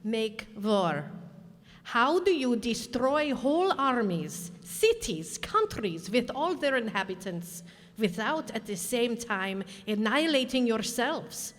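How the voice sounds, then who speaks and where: A scheming onion ruler from a radio play, acted by a woman, speaking in a fairly high voice with menacing courtesy. The delivery is theatrical and condescending, ringing in a reverberant hall. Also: very animated, takes it slowly. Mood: courteous, coldly reasoning. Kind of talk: stage radio drama acting. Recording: reverberant hall, stage performance.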